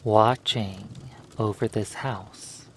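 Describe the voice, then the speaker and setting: A man narrating a hypnosis roleplay in a soothing, lulling manner, leaving long pauses between phrases. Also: on the low side, steady tone, slight background noise.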